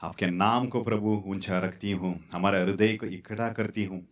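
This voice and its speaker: A man in his thirties preaching a sermon on a church stage into a handheld mic, halting and repetitive. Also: deep voice, steady tone. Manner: halting, repetitive, fillers and restarts. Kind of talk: sermon with prayer. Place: church stage in front of curtains.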